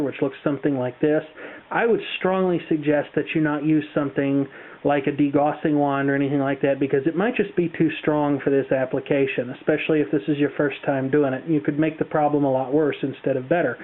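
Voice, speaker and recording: Thin-sounding American man giving a casual tutorial. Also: fairly high pitch. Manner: chatty, careful, explanatory. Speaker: tutorial presenter. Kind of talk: casual how-to demonstration. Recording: thin, phone-like band-limited sound.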